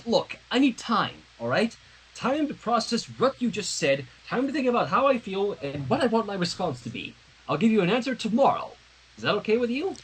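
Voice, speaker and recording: A man's voice: high-pitched, big pitch swings, a little fast, a little hiss or hum, rough recording. A man voicing an awkward, evasive teenage girl in a story, hesitant and nervously casual. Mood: stalling, nervous.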